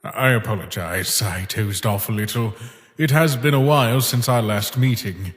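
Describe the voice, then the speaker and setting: A man voicing a lonely, gentle, weary recluse in a comic dub, speaking in a measured, formal, thoughtful way. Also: fairly low pitch, natural voice. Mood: drowsy, kindly. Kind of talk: slow dramatic monologue in a comic dub.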